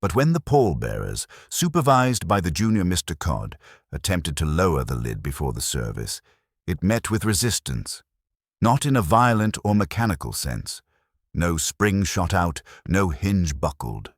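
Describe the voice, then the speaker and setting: A man narrating a scripted mystery story in a dry, droll manner with deliberate phrasing. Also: sits low, very animated, breathy edge, no room sound.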